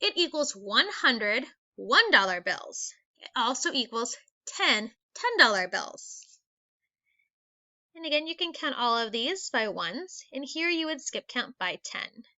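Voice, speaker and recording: A woman's voice: on the high side, big pitch swings, unhurried, sharp tone, dull audio. A woman teacher reading aloud a lesson for young children in an instructional manner, explaining things step by step. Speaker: elementary school teacher.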